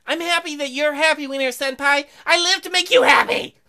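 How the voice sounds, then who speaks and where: Woman voicing a high, cutesy, earnest schoolgirl. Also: fairly quick, creaky, bright, forceful tone, exaggerated voice. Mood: cheerful, eager. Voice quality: high, girlish. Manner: eager, sweet, stammering when flustered. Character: cutesy, earnest, easily flustered schoolgirl fish class president. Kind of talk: visual novel character voice.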